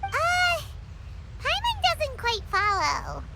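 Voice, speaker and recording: A woman's voice: extremely high pitch, huge pitch swings, extremely slow, creaky, sharp tone, a little hiss or hum, exaggerated voice. A woman voicing a small, childlike fairy companion in a video game, curious and exclamatory. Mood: confused. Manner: speaks of self in third person, exclamatory. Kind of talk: video game cutscene acting.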